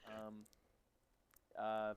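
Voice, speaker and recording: A man's voice: lowish voice, completely flat delivery, extremely slow, slight background noise. A young man with an Australian accent, chatting casually and jokingly on a podcast. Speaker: podcast participant. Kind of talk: casual chat.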